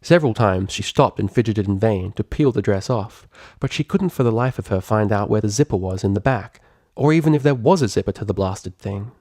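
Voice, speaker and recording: A man reading fiction aloud as a scripted narrator, expressive and articulate in a dramatic storytelling manner. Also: fairly low pitch, fairly quick, no room sound, studio quality. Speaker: audiobook reader of fan fiction.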